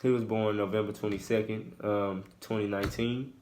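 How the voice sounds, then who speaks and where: A man, casually answering Q&A questions with his partner in a laid-back, halting way full of fillers. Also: on the low side, level tone, darkish tone, slight background noise. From Houston, Texas. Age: 20s. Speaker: co-host of a couple's channel. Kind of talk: casual Q&A chat.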